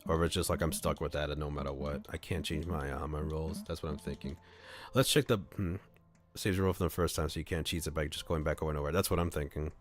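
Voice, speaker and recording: A man's voice: extremely low pitch, fairly even intonation, fast talker. A man reading a visual novel aloud on a livestream, moving between narration and casual commentary.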